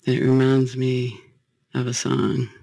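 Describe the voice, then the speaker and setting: Male guided-meditation leader, gravelly and close in a dry space, gentle spiritual delivery. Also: medium pitch, flat delivery, measured pace, dry recording.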